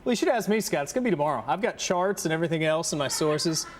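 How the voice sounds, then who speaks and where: Quick-talking male morning news anchor. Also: on the high side, lively intonation, slight background noise. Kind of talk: chatty news presenting.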